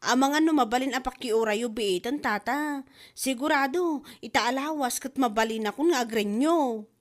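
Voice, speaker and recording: A woman's voice: sits high, moderate pace, natural voice. A woman playing a free-spending show-off in a comedy drama, speaking with an Ilocano Filipino accent and exaggerated delivery. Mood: pleading.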